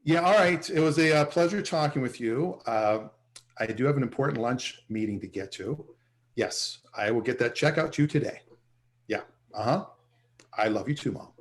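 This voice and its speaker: A man's voice: mid-range voice, animated, normal speed, lightly acted. An actor playing a self-important, sarcastic male salesman in a sitcom table read, recorded on a video call. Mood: placating. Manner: sarcastic, wheedling, hesitant.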